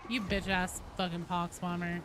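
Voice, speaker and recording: A woman's voice: fairly low pitch, flat delivery, moderate pace. A woman giving casual, sweary live commentary while streaming a game. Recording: clearly noisy background.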